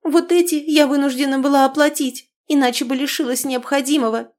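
A woman's voice: sits high, fairly even intonation, a little fast, a little mellow, voice pushed up, natural voice. A woman voicing a young noblewoman who plays at naive, tearful outrage with a wailing, gushing manner. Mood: shrill complaint. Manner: wailing, gushing like a silly girl.

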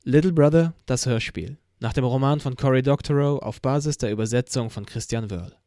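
A man's voice: mid-range voice, brisk, mellow, dry recording, studio quality. A man acting as announcer, reading out the scripted credits of an audio drama.

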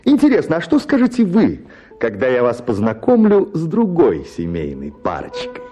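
A man narrates with irony, his pitch leaping expressively. His tone is dark and mellow. The recording is dull and lo-fi, with soft music underneath. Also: normal speed. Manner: ironic, expressive. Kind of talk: scripted literary narration.

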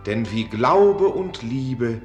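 A blissful young male dreamer in love, declaiming at a slow, solemn pace. The mid-pitched voice rings with rapture in an echoey space, with music underneath. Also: animated. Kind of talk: poetic German radio drama acting. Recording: echoey sound with music behind.

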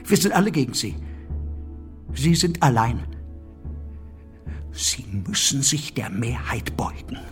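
Pushy scornful revolutionary politician in a radio play, big rhetorical delivery, bit of music under it. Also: male voice, medium pitch, big pitch swings, slow pace, long pauses. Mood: menacing. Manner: rhetorical, emphatic, swinging from hushed to loud. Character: forceful, accusing male revolutionary politician, insistent and scornful. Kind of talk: German radio drama acting.

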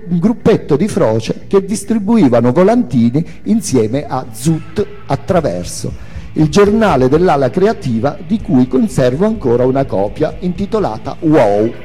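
A man giving a dramatic first-person memoir reading for the theatre, in measured narration that rises to declaim. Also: medium pitch, mellow. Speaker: reader and narrator. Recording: long hall reverb, music underneath. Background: music.

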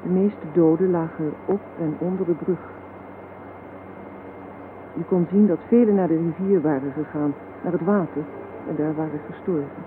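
A performer playing a solemn survivor of a bombing, giving grave, measured testimony in a radio play. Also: medium pitch, close to an everyday voice. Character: solemn eyewitness survivor recalling horrific bombing. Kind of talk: dramatised testimony monologue. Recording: noisy, tinny 1970s radio play recording.

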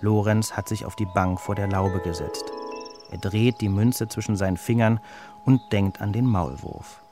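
A man reading a children's story as its narrator, with an expressive storytelling delivery. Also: fairly low pitch, normal speed, music in the background.

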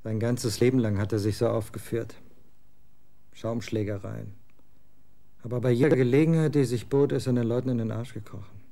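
Actor for a scornful young rocker son of twenty, blunt slang, gravelly dark voice; radio play. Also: male voice, medium pitch, natural voice. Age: 20s. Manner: slangy, scornful, quick to get excited. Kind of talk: German radio drama acting.

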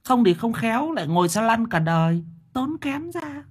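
A man voicing a chattering older village wife, speaking in a high, whining voice. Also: very animated, normal speed, breathy edge, heavily acted. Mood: fretful. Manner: wailing, rambling, high-pitched whine.

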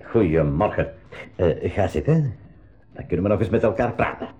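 Animated, low-voiced Flemish uncle in command. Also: male voice, moderate pace, husky, dull audio. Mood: reasoning, friendly. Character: kindly, principled uncle commanding a small submarine. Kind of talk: 1980s school radio play acting.

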